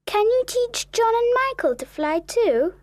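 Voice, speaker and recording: A woman voicing Wendy, an excited young girl, in a storybook reading for learners. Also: measured pace, no room sound, heavily acted. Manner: high, lively, wide-eyed delivery.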